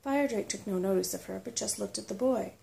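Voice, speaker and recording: A woman reads a story in a hushed ASMR manner. Her voice is dark and relaxed with plenty of fry, and her delivery is soothing. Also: mid-range voice, fast, slight background noise. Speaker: narrator.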